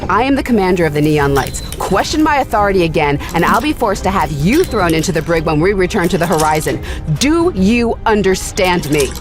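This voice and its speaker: A woman's voice: fairly low pitch, big pitch swings, fairly quick, music in the background. A woman playing an earnest fledgling starship commander in a science fiction audio drama, moving between hesitance and firm command. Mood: angry, commanding. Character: fledgling female starship commander, earnest, unsure yet determined.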